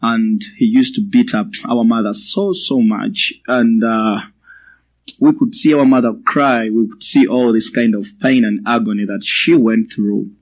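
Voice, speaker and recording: A man in his twenties with a Ugandan accent, giving a personal testimony as a guest speaker to a church, in a measured, halting way. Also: lowish voice, steady tone, low-quality recording. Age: 20s.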